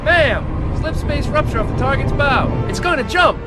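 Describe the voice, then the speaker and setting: An alarmed junior ship's officer, very high-pitched and urgent. He reports in a dramatic sci-fi game scene under loud music. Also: lively intonation, moderate pace.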